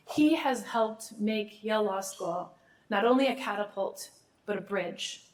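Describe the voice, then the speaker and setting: A woman's voice: mid-range voice, rough recording. Woman dean losing her voice. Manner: warm, formal, with small restarts and asides. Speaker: law school dean. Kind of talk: formal speech at an awards ceremony.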